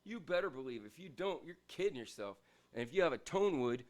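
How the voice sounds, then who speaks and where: A man with a big, loud voice giving a rambling, emphatic guitar review from his home, swinging between loud bursts and quieter asides. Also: on the high side, lively intonation, a little fast. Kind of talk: casual ranting gear review.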